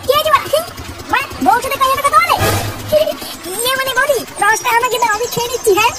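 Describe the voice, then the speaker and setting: A cheeky, crude little cartoon schemer, mocking everyone he talks to. The voice is extremely high-pitched and squeaky, and it rattles along quickly. The soundtrack has clear noise behind it. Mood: brash, cheeky. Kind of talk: comedy cartoon dub.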